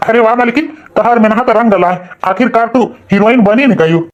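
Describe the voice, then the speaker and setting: A man's voice: very high-pitched, normal speed, highs cut off. A man playing an aggrieved, plain-spoken young village father who grumbles and exclaims in a comic cartoon, with an Awadhi accent. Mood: excited.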